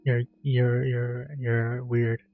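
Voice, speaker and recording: A man's voice: medium pitch, monotone, drawn-out words, narrow, tinny audio, soft background music. A man giving casual commentary while playing through a game's story. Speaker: gamer reacting to story quests.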